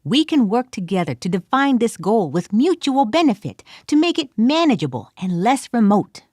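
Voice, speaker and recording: A woman's voice: on the high side, sing-song, moderate pace, a little mellow, no echo, studio quality. A woman voicing an earnest adventurer who gives a grand, formal plea for peace.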